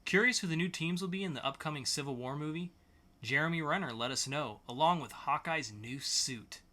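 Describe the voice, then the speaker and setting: A man's voice: on the high side, a little fast, bright, forceful tone. A man hosting a podcast, reading scripted pop-culture news in an announcer-like way with sarcastic quips. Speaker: podcast host.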